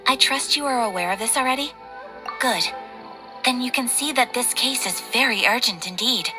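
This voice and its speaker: A woman playing a polite, businesslike guild receptionist in a video game, speaking in a formal, measured, courteous way. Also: a little high, over loud music, natural voice. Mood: earnest, urgent. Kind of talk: video game character acting.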